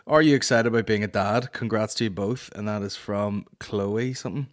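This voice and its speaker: A man's voice: fairly low pitch, normal speed. A man in his early thirties with a Northern Irish accent, hosting a comedy podcast with blunt, sweary casual chat into a close studio mic. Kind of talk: casual comic chat, answering listener questions. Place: small indoor podcast studio. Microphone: close studio microphone on boom arm.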